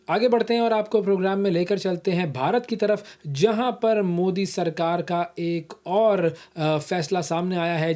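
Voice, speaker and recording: A man with a Pakistani accent presents news analysis as a programme host. His tone is soft-edged and mellow. He explains things conversationally, leaning on habitual filler phrases. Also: fairly high pitch.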